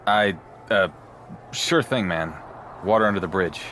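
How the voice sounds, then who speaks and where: A man playing a wary, defiant pro wrestling champion in a video game, speaking in a dry, deliberate way. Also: low-pitched, a bit bright, slight background noise. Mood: guarded.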